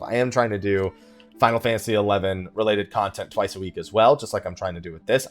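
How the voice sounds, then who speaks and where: A man giving casual let's play commentary as a video creator, rambling and thinking aloud, often sidetracking himself. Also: on the low side. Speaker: gaming video creator and live streamer.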